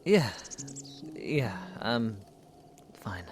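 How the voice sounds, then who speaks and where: A man's voice: lowish voice, very animated, extremely slow, a little hiss or hum, heavily acted. A man playing a humble, protective young swordsman in a fantasy audio drama, speaking in an earnest, hesitant way. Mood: sheepish. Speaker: voice actor.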